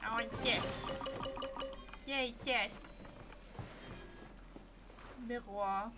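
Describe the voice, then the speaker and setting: A woman's voice: on the high side, fairly even intonation, drawn-out words, loud music behind the voice. A woman streaming a game, giving casual commentary with playful, cutesy reactions.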